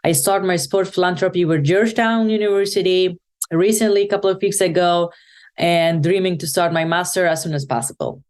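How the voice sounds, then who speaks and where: Woman w/ afghan accent, low smooth voice, earnest, lots of uh and um. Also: darkish tone. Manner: earnest, halting, with frequent fillers and restarts. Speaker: podcast guest, nonprofit founder. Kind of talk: podcast interview.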